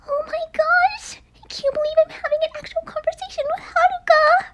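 A woman's voice: extremely high pitch, fairly even intonation, normal speed, a bit bright, voice pushed up. A woman voicing a shy, starstruck teenage girl in an anime game, stammering and gushing. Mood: giddy. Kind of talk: amateur anime game voice acting.

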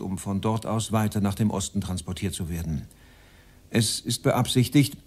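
An actor playing a cold, measured Nazi official addressing a meeting in a radio play. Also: male voice, on the low side, warm tone, lightly acted. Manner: measured, bureaucratic.

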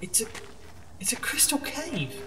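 Man acting nervous, high-voiced caver, echoing. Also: big pitch swings, unhurried, a bit bright, full character voice. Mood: anxious. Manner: hesitant, anxious, stammering. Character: nervous, cautious, reluctant male cave explorer. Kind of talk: audio drama acting. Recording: echoey cave-like reverb, distant, faint noise.

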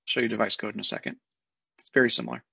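A man in his thirties walking through a software setup on a screen share, talking casually step by step with fillers, recorded on a desk mic at home. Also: fairly low pitch, fairly even intonation, rapid-fire, vocal fry, breathy edge, no room sound. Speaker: software consultant. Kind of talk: screen-share tutorial walkthrough. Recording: dull lo-fi dry sound.

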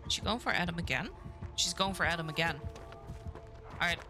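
A woman's voice: lowish voice, fairly quick. A woman whose first language is Dutch, chatting casually on a live stream while playing a game. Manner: chatty, reacts aloud to the match. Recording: game music in the background.

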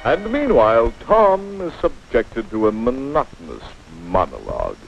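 An actor playing a pompous mock-heroic narrator of a 1940s cartoon, florid and theatrical. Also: male voice, medium pitch, wildly swooping pitch, a little slow, vocal fry, heavily acted. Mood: arch, breezy. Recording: muffled 1940s film soundtrack, music behind.

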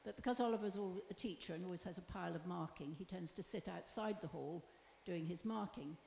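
Older woman from the public, gravelly voice, chatty, echoey hall with faint hiss. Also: on the low side, fairly quick, a little mellow. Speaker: member of the public.

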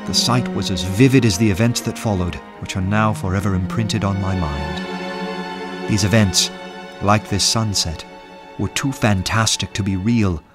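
Man playing earnest gentleman poet over music. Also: fairly low pitch, close to an everyday voice. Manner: measured when narrating, fervent and rhetorical in debate. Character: earnest, respectable gentleman poet of law and order. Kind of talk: radio drama narration and acting. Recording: music bed under the voice.

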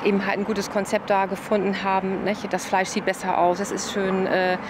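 A woman's voice: medium pitch, completely flat delivery, moderate pace. A woman in her forties answering an interview outdoors, often ending phrases with a checking tag question. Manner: tags phrases with "nicht?". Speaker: butcher business owner. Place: outdoors in front of a building. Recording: loud outdoor traffic noise.

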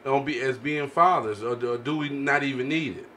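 A man's voice: mid-range voice, brisk, a bit bright. An American man hosting a casual panel podcast in an easygoing, conversational style. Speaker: podcast host. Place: indoor podcast table with several men. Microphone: studio microphone on boom arm. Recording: noticeable background noise, room ambience.

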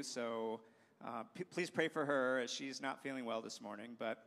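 A man's voice: mid-range voice, a bit bright. Upbeat man hosting an onstage interview. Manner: upbeat, restarts often. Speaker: school staff host. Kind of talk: stage interview. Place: church sanctuary stage. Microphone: handheld mic. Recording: long reverb of a large hall.

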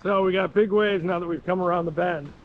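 Middle-aged male hiking vlogger, fairly high voice, rambling and curious, dull lo-fi outdoor sound. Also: steady tone, fairly quick, slight background noise. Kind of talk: casual walking commentary. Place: rocky seaside trail outdoors. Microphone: 360 camera on a pole.

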